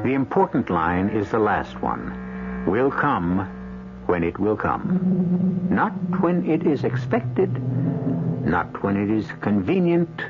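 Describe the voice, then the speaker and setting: An actor playing an urbane, middle-aged host of radio mystery tales, speaking in a measured, wry, theatrical manner. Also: male voice, lowish voice, exaggerated voice. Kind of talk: 1970s radio drama narration. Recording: muffled old radio broadcast, loud music behind.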